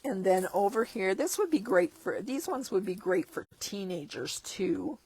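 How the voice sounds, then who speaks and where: Slow-talking crafter woman losing her voice. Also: medium pitch, lively intonation, a little mellow, husky. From Canada. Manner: chatty, chuckling asides. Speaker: stamping demonstrator. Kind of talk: casual catalog walkthrough. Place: tabletop craft desk indoors.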